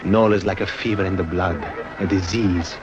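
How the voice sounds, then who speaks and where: An actor playing a lovesick, melancholy Italian circus clown in a plaintive, theatrical old radio drama manner. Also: male voice, deep voice, steady tone, normal speed, natural voice.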